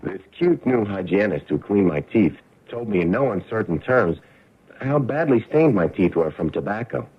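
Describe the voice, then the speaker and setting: Low, husky, confiding smoker. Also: male voice, very animated, normal speed. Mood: sheepish. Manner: conversational, confiding. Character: sheepish ordinary male smoker giving testimonial. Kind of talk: radio ad testimonial. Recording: dull, band-limited radio ad.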